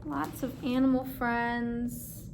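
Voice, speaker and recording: A woman reads a picture book to children in a high, slow-paced voice. She speaks as a teacher, putting questions to her listeners. Some background noise is audible. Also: level tone, gravelly, poor audio. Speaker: read-aloud teacher. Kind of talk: children's story read-aloud with questions to listeners.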